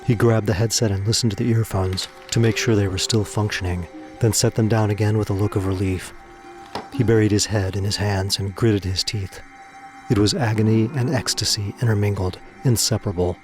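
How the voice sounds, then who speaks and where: A man's voice: fairly low pitch, steady tone, brisk. A man reading scripted dramatic narration for an audio drama. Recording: music under the voice. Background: music.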